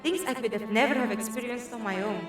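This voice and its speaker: A woman's voice: fairly high pitch, fast talker, music in the background, lightly acted. A woman playing a brave, selfless young woman in a fan audio drama, speaking in an earnest, wistful way. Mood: tender, wistful. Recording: echoey, distant voice.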